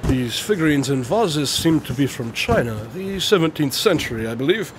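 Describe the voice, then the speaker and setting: An actor playing an eccentric, inquisitive detective in a radio drama, articulate and dryly witty, with formal phrasing. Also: male voice, on the high side, lively intonation, moderate pace, background noise, natural voice. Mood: brisk, pleased.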